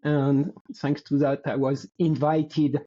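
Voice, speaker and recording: A man around sixty with a French accent, a guest in a casual interview, talking in a halting way and searching for words. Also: on the high side, level tone, mellow, husky, highs cut off. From France. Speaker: guest athlete.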